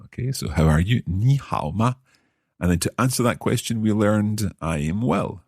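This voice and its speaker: Deep-voiced Scottish man, very animated intonation. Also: moderate pace, slightly rough, no echo, clean studio sound. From Scotland. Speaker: podcast host and language learner. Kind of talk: conversational language lesson podcast.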